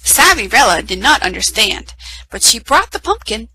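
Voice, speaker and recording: A woman reading a fairy tale aloud at story time, in an expressive, sing-song storytelling manner with small slips. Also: on the high side, moderate pace, slight background noise, poor audio. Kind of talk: reading a picture book aloud.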